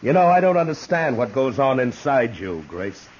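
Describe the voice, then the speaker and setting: A man's voice: medium pitch, sing-song, moderate pace, close to an everyday voice. An actor playing a terse, resolute American lawman in a 1950s radio western. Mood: stern. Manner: terse, commanding. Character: stern, resolute frontier US marshal, grown man. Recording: muffled, noisy 1950s radio recording.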